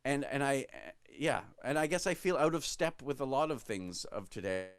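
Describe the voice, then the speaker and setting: Halting, rambling man in his forties. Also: medium pitch, animated, clean tone, no room sound, clean studio sound. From Quebec, Canada. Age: 40s. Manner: rambling, self-correcting, halting. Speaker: musician guest. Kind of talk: reflective conversational answers.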